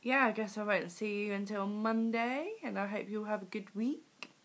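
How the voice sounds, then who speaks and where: A woman in her twenties, croaky from a cold, chatting casually in a home video diary with lots of ums, recorded on a webcam in a small room. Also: medium pitch, normal speed. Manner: chatty, rambling, many ums. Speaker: vlogger. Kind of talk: casual weight-loss diary chat. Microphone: webcam at arm's length.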